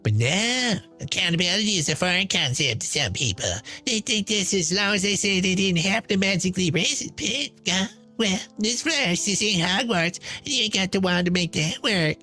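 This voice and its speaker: A man's voice: on the high side, expressive, moderate pace, pushed and bright, husky, soft background music, full character voice. A man voicing a brash, smug, chain-smoking woman in a dramatized monologue, sneering, profane and boastful. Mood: mocking, indignant.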